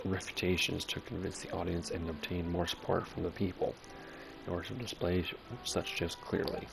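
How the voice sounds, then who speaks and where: A man giving casual let's play commentary as he plays a story game, halting when he reads text aloud. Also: low voice, vocal fry, rough recording. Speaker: gamer playing and commenting on a story quest.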